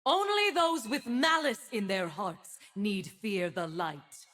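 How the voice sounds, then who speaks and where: A woman's voice: mid-range voice, takes it slowly, a bit bright. A woman voicing a stern, righteous divine winged judge for a fantasy video game, speaking in solemn, grand declarations with a subtle ethereal effect. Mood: fervent resolve.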